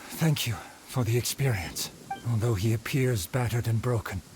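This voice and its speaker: A humble swordsman of an anime game played by a man, dignified and measured. His pitch is middling and his wording formal and polite. Soft music is behind him. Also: natural voice. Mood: respectful.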